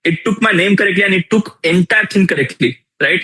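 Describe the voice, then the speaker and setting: A young man with an Indian accent teaches a live workshop with a lot of energy. He repeats his key lines and keeps asking the audience questions. His voice sits slightly high and has a husky edge. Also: brisk, sharp tone. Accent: Indian English. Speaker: workshop instructor. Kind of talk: live online tool demonstration.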